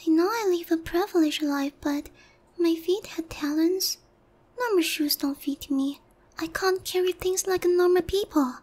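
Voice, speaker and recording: A woman's voice: extremely high pitch, measured pace, a little mellow. A woman playing a naive, sheltered young harpy noblewoman in a roleplay ASMR, polite, hesitant and earnest in a girlish way. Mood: frustrated, wistful.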